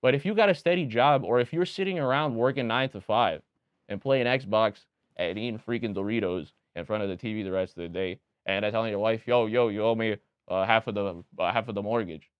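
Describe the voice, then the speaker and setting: A man's voice: on the low side, a little fast, clean tone, no echo. A young man with a Romanian accent, a guest candidate talking opinionatedly in a casual interview, with many restarts. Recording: dull lo-fi sound.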